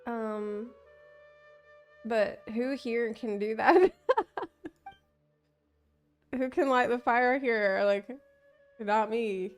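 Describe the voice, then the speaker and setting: A woman's voice: fairly high pitch, fairly even intonation, very slow, pauses often, clear, resonant tone. A woman streamer giving casual live commentary as she plays a video game. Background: faint game music.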